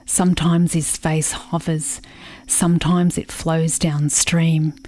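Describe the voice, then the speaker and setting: An actress in a radio play playing a grieving, watchful country sister, narrating in a reflective, measured way. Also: female voice, low voice, level tone, a little mellow. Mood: wistful.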